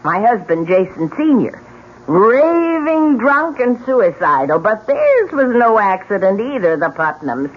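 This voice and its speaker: A woman's voice: mid-range voice, sing-song, unhurried. A woman playing a bitter, needling old widow who tells ominous tales in an old-time radio drama. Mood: ominous, dramatic. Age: older. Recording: muffled, noisy vintage radio recording.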